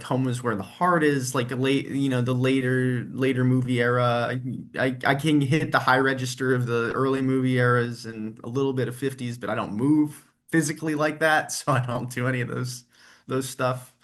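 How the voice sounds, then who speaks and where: Easygoing guy chatting in an interview, friendly, rambles a bit, mid-pitched voice. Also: fairly even intonation, moderate pace, fairly bright tone. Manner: friendly, rambling, laughs easily. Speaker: guest, tribute performer and engineer. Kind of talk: casual interview chat.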